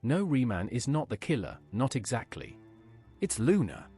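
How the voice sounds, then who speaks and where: A man's voice: mid-range voice, very animated, moderate pace, warm tone, slightly rough, soft background music. A man voicing a plain, direct male game hero who speaks in short questions and statements, as AI-voiced game dialogue.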